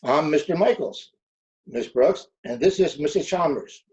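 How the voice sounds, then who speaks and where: Man playing a measured official, mellow higher voice. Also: animated. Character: measured, skeptical male official over the principal. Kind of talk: old-time radio comedy acting. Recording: dull remote video-call audio.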